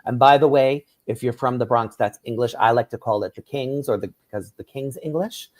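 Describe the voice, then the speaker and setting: New author explaining eagerly. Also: male voice, mid-range voice, a little fast, a little mellow. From New York. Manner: enthusiastic, explanatory, tags "right?". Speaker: author and drag performer, guest. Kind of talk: radio interview.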